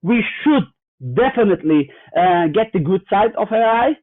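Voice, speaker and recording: A man's voice: sits high, lively intonation, moderate pace, dry recording. A man from Germany, a guest cybersecurity executive in a fireside chat, explaining with examples in an earnest way full of hesitant fillers and restarts. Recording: tinny phone-like remote line, dry.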